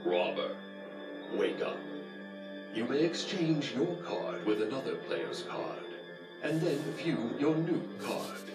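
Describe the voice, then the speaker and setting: A man's voice: mid-range voice, very animated, slow. A man narrating scripted game instructions as the moderator. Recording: roomy echo, music behind. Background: music.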